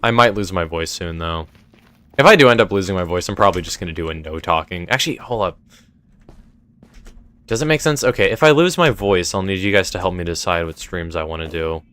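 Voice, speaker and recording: A man's voice: low-pitched, fairly quick, fairly bright tone, clean tone. A young man chatting casually on a live stream, answering chat and rambling, his voice a little strained. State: voice feeling strained. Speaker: streamer running a game server.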